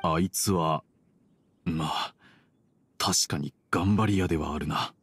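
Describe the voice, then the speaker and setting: A man's voice: low-pitched, slow, husky, heavily acted. A man playing a worried middle-aged tea merchant and father in an anime game, earnest and reserved.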